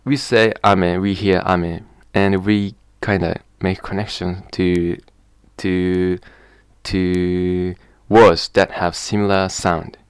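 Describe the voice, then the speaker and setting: A man with a Japanese accent, explaining in a hesitant, halting vlog way and slowly sounding out example words. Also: low-pitched, flat delivery, smooth, dry recording, studio quality. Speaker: vlogger. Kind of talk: casual spoken explanation to camera.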